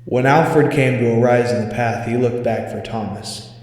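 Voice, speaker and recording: A man reads a scripted scary tale as its narrator. His pitch is average for a male voice. The recording is very echoey, with a long hall reverb around every phrase. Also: steady tone, normal speed, a little mellow. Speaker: story narrator. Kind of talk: scripted spooky story reading.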